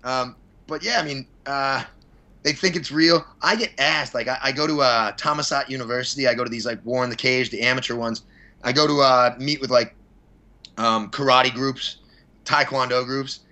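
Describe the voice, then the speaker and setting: A man's voice: medium pitch, fairly even intonation, normal speed, sharp tone. A man being interviewed as a podcast guest, chatting casually and rambling through blunt, opinionated answers with some mild swearing. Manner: blunt, opinionated, mildly profane. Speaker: podcast guest, fight promoter.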